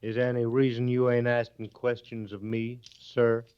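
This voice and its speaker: An actor playing a defiant, needling prisoner turned private in an old radio western, with a folksy drawl. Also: male voice, medium pitch, flat delivery, moderate pace, smooth, natural voice. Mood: sullen, needling. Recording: muffled vintage radio recording.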